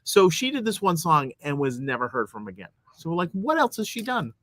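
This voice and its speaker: A man's voice: fairly high pitch, sing-song, a little fast, a bit bright, dry recording. A man co-hosting a podcast, rambling and hesitant, telling stories in comedic banter. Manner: rambling, hesitant, full of ums. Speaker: podcast co-host.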